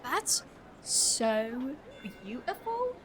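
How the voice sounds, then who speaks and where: A woman's voice: high-pitched, very animated, extremely slow, a bit bright, soft background music, full character voice. A woman playing an earnest Victorian London street orphan girl in a comedic audio drama. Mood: moved.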